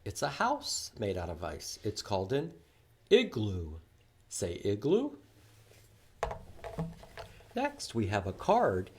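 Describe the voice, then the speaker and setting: A man presenting a children's library storytime, reading aloud in an animated, sing-song way with questions and repeated words for young listeners. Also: fairly low pitch, takes it slowly, long pauses, slightly rough.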